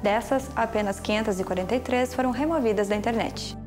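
Highish Brazilian female narrator over music. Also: brisk. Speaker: presenter. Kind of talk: scripted documentary narration.

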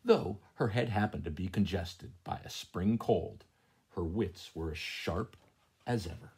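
A middle-aged man with a husky, breathy voice, reading a children's story aloud at home as a teacher, expressively, with chatty asides. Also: low-pitched, measured pace, darkish tone. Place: small room at home, indoors. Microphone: handheld tablet at arm's length.

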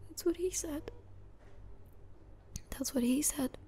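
A woman playing an anxious, grieving young adult in an ASMR roleplay, speaking in a hushed, breathy, halting way. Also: sits high. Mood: regretful, sad. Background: hospital ambience.

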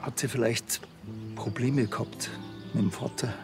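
An actor playing a blunt, awkward middle-aged village policeman in a TV crime comedy, with a Bavarian accent and a halting, deadpan delivery. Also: medium pitch, vocal fry, music in the background.

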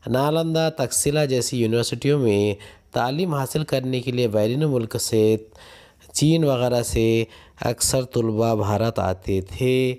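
Indian man teaching, unhurried, mellow voice. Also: mid-range voice. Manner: deliberate, instructional, pausing between numbered points. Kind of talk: reading textbook questions and answers aloud as a lesson.

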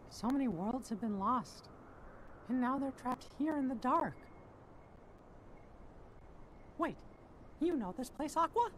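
A tiny, heroic mouse king, speaks in a very high falsetto that swings widely in pitch. He sounds earnest and urgent, like a game cutscene hero, over faint ambient noise. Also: expressive, normal speed, fairly bright tone, poor audio. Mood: concerned, curious. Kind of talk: video game cutscene acting.

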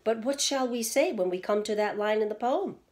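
A woman's voice: a little high, a little fast, darkish tone, close to an everyday voice. Storybook dad, worried and protective, earnest and formal, played by a female reader. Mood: pondering, fretful.

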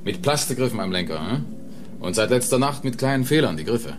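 An actor playing a dry, probing police officer in a German radio crime play. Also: male voice, medium pitch, animated, brisk, a little hiss or hum, lightly acted.

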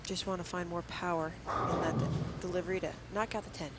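A woman's voice: lowish voice, quick pace, heavy background noise. Woman breaking down bowling technique. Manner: explains technique in detail, steady and instructive. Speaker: color commentator and technical analyst. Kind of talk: analytical sports commentary. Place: commentary booth at a bowling arena.

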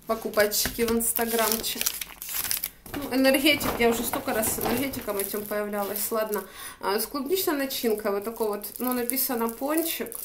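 A young woman with a Ukrainian accent rambles through a shopping haul in a fairly high voice, chatty and quick to laugh. She talks into a phone held at arm's length in a small room, and the sound bounces around with a noticeable echo. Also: background noise. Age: young adult. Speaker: vlogger. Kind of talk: casual shopping-haul chat. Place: small room indoors by a window. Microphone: phone camera at arm's length. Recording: echoey room.

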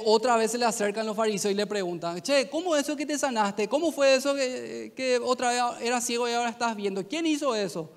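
A man in his thirties with a Paraguayan Spanish accent, preaching a youth sermon on stage into a handheld mic, telling the story in a conversational way and acting out the dialogue. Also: high voice. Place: large stage in an auditorium. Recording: long hall reverb.

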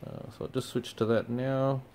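A man's voice: medium pitch, moderate pace, slight background noise. A young man, casually walking viewers through resetting a device, with plenty of ums along the way. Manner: relaxed, many fillers. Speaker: company product presenter. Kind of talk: casual how-to walkthrough. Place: indoors in front of a TV screen.

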